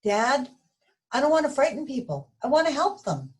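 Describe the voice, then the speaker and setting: A woman's voice: high voice, big pitch swings, moderate pace, a little mellow, voice pushed up. A woman voicing an earnest young boy yeti in a storybook, plaintive and childlike. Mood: earnest, determined. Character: earnest small young boy yeti who longs to belong.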